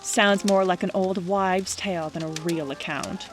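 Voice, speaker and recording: A woman acting in an audio drama as a teasing, stubborn young skeptic with a brisk, argumentative manner. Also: on the low side, steady tone, music in the background, lightly acted. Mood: dismissive.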